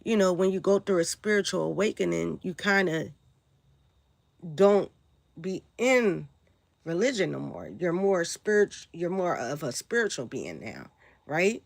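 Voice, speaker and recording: A woman from Brooklyn with a New York accent, hoarse and raspy from a sore throat, talking loosely on a live stream and often asking "right?". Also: fairly low pitch, lively intonation, unhurried, no room sound. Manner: rambling, loose, frequent "right?" and "like". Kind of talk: casual live-stream talk answering chat.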